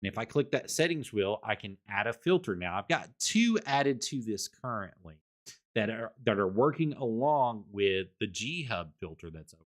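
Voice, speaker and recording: A man with a fairly low, loud voice gives a casual microphone review on his home stream. He rambles, restarts and repeats words as he walks through his settings, speaking into a desktop condenser mic. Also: very animated, normal speed.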